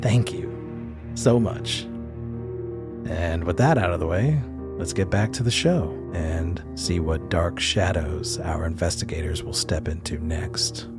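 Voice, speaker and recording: A man's voice: sits low, measured pace, over loud music. A man hosting and narrating a horror tabletop game as game master, with a suspenseful storytelling delivery. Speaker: game master and show host.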